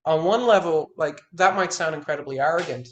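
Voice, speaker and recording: A man in his thirties, a guitar teacher, explains technique with emphatic, frank insistence, often restarting words as he drives a point home. His voice sits a little higher than most men's. The sound carries a noticeable room echo. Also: brisk. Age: 30s. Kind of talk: one-on-one lesson consultation.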